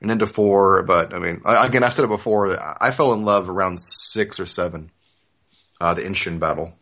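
A man in a casual, reflective podcast discussion of manga, often halting and correcting himself. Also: deep voice, pushed and bright. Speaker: podcast co-host.

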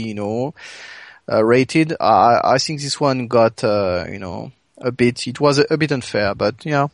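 A man with a medium-pitched voice chats as a podcast co-host. He is hesitant, often padding his sentences with "you know", and the sound is dry with no room echo. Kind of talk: casual chat.

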